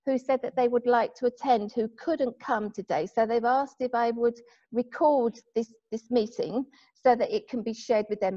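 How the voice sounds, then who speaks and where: A woman hosting a small online group meeting, gentle and encouraging, talking casually with some fillers over a video call. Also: on the high side. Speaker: group organiser and host. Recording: dull lo-fi video call audio.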